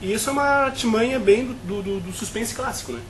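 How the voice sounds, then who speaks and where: A man's voice: extremely high pitch, fairly quick, a bit bright, slight background noise, poor audio. A Brazilian man on a film review panel, talking at length with frequent restarts and tag questions.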